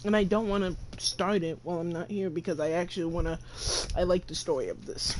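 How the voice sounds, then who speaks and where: A woman's voice: lowish voice, steady tone, brisk. A teenage girl giving casual, slangy, exclamatory reaction commentary over a video game. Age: teen. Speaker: game streamer. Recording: noisy home mic.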